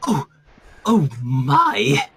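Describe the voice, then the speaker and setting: A man's voice: sits high, huge pitch swings, extremely slow, vocal fry, a bit bright, a little hiss or hum, exaggerated voice. A man voicing a prim, ladylike young female cartoon character with fussy, dramatic phrasing. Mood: shocked.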